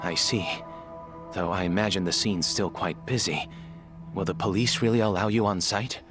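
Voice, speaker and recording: A studious, courteous assistant investigator, acted by a man in a video game story. He has a low voice and speaks in careful, formal phrases over clearly audible background music. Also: normal speed, lightly acted. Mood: polite, earnest. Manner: formal, precise wording. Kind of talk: dramatic game cutscene dubbing.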